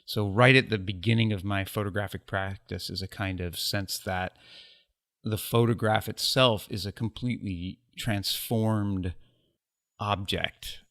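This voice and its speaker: A man from the United States, a guest photographer in a casual interview, speaking reflectively with wry, self-deprecating humor and pauses filled with ums. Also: lowish voice, fairly even intonation, fairly bright tone.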